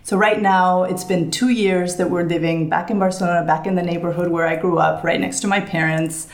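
Interview guest: pregnant woman from Spain, low voice, conversational storyteller, echoey room. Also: steady tone, fairly quick. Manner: chatty, storytelling, some hesitations. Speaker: guest, business founder. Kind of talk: casual interview chat.

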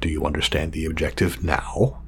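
An actor playing a meticulous male scientist in an audio drama, speaking formally and wordily, halting when flustered. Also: rumbling low, little pitch movement, fairly bright tone, slight background noise. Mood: measured, pleased. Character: meticulous, fussy male scientist testing his drone creation.

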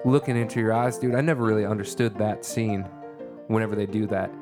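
A man's voice: fairly low pitch, little pitch movement, fast talker, music in the background. A man co-hosting a podcast, chatting loosely and rambling, often saying "dude". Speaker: podcast co-host. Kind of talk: casual chat.

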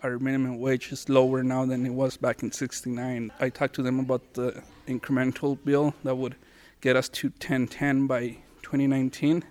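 A man's voice: mid-range voice, little pitch movement, normal speed, darkish tone. A man from Mexico, a community organizer, speaking firmly and matter-of-factly.

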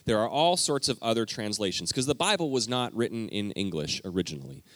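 A man with a low voice gives a teaching sermon in a church, speaking straight to the people in the pews. His delivery is lively and emphatic, and the sound is close and dry. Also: brisk, dry recording. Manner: lively, emphatic, talks directly to the congregation. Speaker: pastor. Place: church sanctuary.

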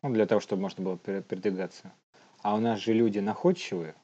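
Dude on a podcast, dark soft-edged lowish voice, telling stuff and reading news, bit muffled. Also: male voice, steady tone, fast talker. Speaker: podcast co-host. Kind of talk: casual storytelling and news reading.

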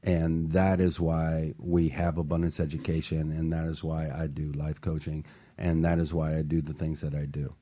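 A man's voice: rumbling low, little pitch movement, normal speed, dark tone. A man hosting a motivational talk show and coaching, talking earnestly and building to passionate emphasis.

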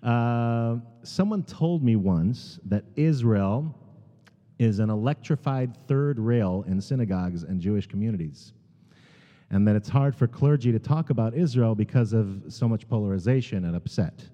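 Halting American man in an echoing sanctuary. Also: fairly low pitch, lively intonation, warm tone. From United States. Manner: halting, many ums and restarts, earnest. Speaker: guest speaker at a synagogue. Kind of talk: sermon. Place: large synagogue sanctuary. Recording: long hall reverb, dull sound.

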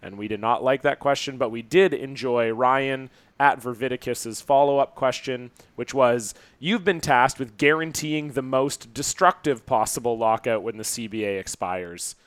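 A man's voice: mid-range voice, normal speed, hardly any pauses, fairly bright tone, clear, resonant tone, no echo. A man co-hosting a podcast, chatting casually and jokingly in an informal, profane, bantering way. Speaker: podcast co-host reading listener questions.

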